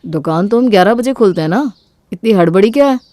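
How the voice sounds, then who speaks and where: A mild young husband and dutiful son, acted out by a woman talks in a conciliatory, reassuring way. It is a family-story character with a medium pitch for a woman, recorded dry and close. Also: lively intonation, a little fast, dark tone, dry recording, lightly acted.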